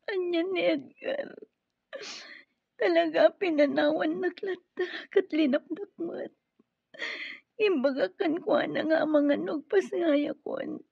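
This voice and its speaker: Distressed young mother, high creaky voice. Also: slow, dry recording, highs cut off, exaggerated voice. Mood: hurt. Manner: pleading, distressed. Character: tearful young mother pleading after childbirth. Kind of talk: radio drama acting in Ilocano.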